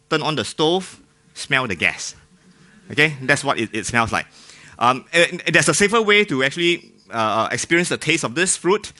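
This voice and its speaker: A man's voice: mid-range voice, sharp tone. A man with a Singaporean accent giving an informal, joking technical talk to a live audience, often putting questions to them. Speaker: conference speaker.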